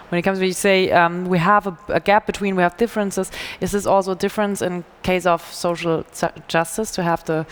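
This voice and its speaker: Lady hosting a panel, slightly low voice, asking questions kinda haltingly. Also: clean tone, in a large hall. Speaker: panel moderator. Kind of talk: moderating a panel discussion.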